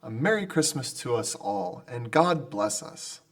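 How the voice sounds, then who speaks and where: A gentle, pious family man played by a man speaks slowly at a middling pitch. His kindly voice moves up and down a lot, in old-time radio drama style. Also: very animated. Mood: warm, reverent. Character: gentle, pious, kindly poor father, Bob Cratchit.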